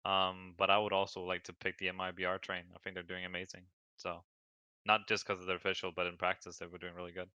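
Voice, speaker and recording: A man's voice: deep voice, completely flat delivery, quick pace, bright, forceful tone, clean tone, no echo, highs cut off. A Danish man who speaks English as a second language, an esports player chatting casually in an interview with many hesitations. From Denmark. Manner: hesitant, lots of fillers.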